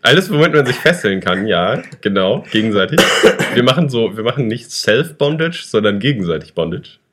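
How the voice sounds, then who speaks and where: A young man with a somewhat low voice talks in a loose, rambling way, stumbling over fillers and restarting sentences as he jokes along. He sounds like a casual co-host chatting with a friend. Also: sing-song, fairly quick, background noise. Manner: rambling, hesitant, lots of fillers, joking. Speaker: podcast co-host. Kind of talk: casual chat.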